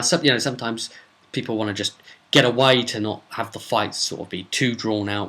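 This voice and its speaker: A man speaking as a game master, casually retelling a role-play session with emphatic, drawn-out words for suspense. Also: mid-range voice, sharp tone. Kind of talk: casual spoken storytelling recap.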